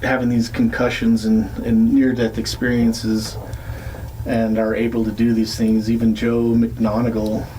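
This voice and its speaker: A man's voice: medium pitch, monotone, measured pace, dark tone, a little hiss or hum, low-quality recording. A man co-hosting a casual podcast, telling a long story with many fillers and restarts. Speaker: podcast co-host. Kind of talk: casual chat.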